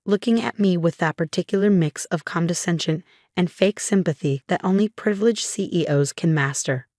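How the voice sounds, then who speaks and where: Calm, lowish woman narrating a story. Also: moderate pace, no room sound, clean studio sound. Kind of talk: scripted first-person story narration.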